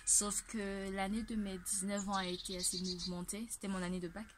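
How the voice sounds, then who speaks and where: Female vlogger, twenties, from Ivory Coast: mid-pitched, fairly flat delivery, sincere and conversational personal storytelling. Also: a little fast, background noise. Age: 20s. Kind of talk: personal testimony, casual talk to camera.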